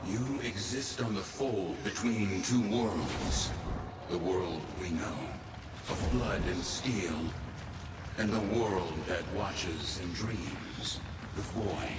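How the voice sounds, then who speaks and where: A man playing a stern, mystical warrior mentor in a video game, speaking in a grave, portentous, measured way. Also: fairly low pitch, expressive, very noisy. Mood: solemn instructing. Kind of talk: cinematic video game acting. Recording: echoing, distant voice under loud music.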